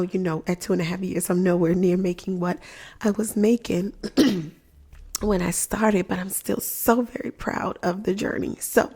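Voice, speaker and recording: A woman in her thirties whose voice is raspy and hoarse, with a gravelly edge. She chats in a casual, upbeat way on a live stream, repeating herself and filling gaps with fillers. She speaks softly into a close microphone. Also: medium pitch. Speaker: livestream host, pumping educator. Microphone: close mic, talking softly.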